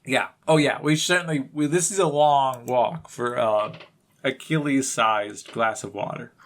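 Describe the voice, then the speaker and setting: A man co-hosting a podcast, chatting casually in a halting, jokey way as he riffs on ideas. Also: medium pitch. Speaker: podcast co-host. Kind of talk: casual comedic chat.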